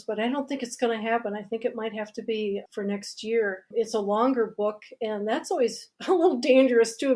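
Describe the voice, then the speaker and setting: A woman with a fairly high voice speaks as an interview guest. She is chatty and expansive, explaining things in a casual, conversational way. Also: a little mellow. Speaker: guest, mystery author and writing teacher.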